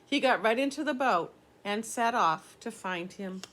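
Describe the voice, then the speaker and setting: Older woman reading to children, lively pitch. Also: a little slow, background noise. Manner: simple, explanatory, addressing young children. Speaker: teacher reading a picture book aloud. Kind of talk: children's story read-aloud.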